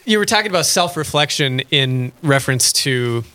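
A man hosting a radio talk show speaks with a bright, ringing tone. He chats in a loose, friendly way and laughs easily at his own jokes. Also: mid-range voice, expressive, moderate pace, smooth. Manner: chatty, self-deprecating, laughs easily. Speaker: radio host. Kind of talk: casual faith radio chat.

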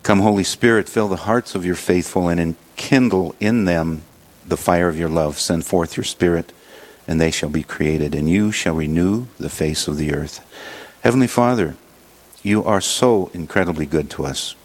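A man hosting a religious radio show, leading prayer and introducing guests in a halting way with many fillers. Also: low-pitched.

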